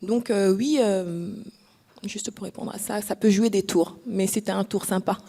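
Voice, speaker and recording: A woman's voice: medium pitch, a little slow. Young Congolese-born woman telling a story. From Congo-Kinshasa. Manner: lively storyteller, acts out dialogue, laughs often. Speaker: panelist. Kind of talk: anecdotal storytelling on a panel.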